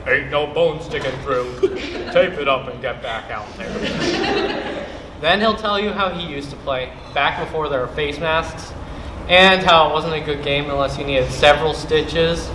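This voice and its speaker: Slow, wry young football player played by a man. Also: fairly high pitch, pushed and bright. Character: joking, battered high school football player. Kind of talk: amateur school stage play acting. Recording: echoey stage with clear noise.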